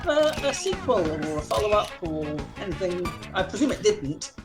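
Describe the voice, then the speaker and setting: A woman's voice: medium pitch, sing-song, normal speed. A woman chatting casually as a co-commentator on a video game run. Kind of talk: casual chat. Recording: loud game music behind. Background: video game music.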